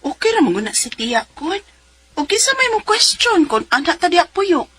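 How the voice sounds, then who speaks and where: A woman's voice: very high-pitched, sing-song, moderate pace, a bit bright, exaggerated voice. An actress with a Cebuano accent playing a married mother in her forties who tells her story in a radio drama. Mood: chatty. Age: 40s. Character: Zenaida, 42-year-old married mother of two, letter sender. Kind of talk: radio drama acting and letter narration. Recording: music bed behind the voice.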